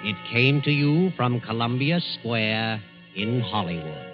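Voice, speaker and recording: An American man narrating 1940s radio suspense in a measured, dramatic, ominous manner. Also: medium pitch. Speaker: narrator and announcer. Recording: dull old radio recording. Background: music.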